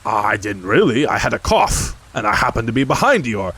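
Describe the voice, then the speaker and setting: A man voicing a bouncy, boisterous male tiger who talks quickly. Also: lowish voice, sing-song, fairly bright tone, husky, full character voice. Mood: defensive.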